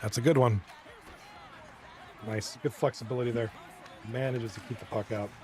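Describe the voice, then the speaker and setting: A man with a hoarse, fading voice doing casual play-by-play commentary on a live stream, chatting with viewers between plays. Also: medium pitch, steady tone, moderate pace. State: hoarse, losing his voice. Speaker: esports league commentator.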